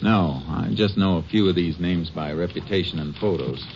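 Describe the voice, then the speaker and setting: An actor playing a seasoned, level-headed Texas Ranger investigator in a 1950s radio drama, laconic and folksy, matter-of-fact. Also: male voice, low-pitched, moderate pace, a little mellow, a little hiss or hum. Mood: businesslike. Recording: muffled vintage radio recording with music.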